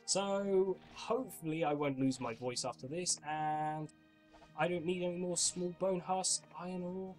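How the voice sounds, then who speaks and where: A young man with a high voice talks his way through a game, explaining moves in a chatty, casual way. His voice is slightly off from a cold coming on. Soft music sits faintly under him at a home desk mic. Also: a little slow. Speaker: gaming channel host. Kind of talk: casual gameplay commentary.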